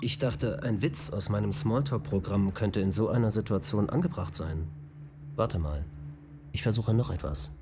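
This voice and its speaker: A man's voice: fairly low pitch, moderate pace, music in the background, natural voice. An actor playing a matter-of-fact male AI companion program in a radio drama. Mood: clinical.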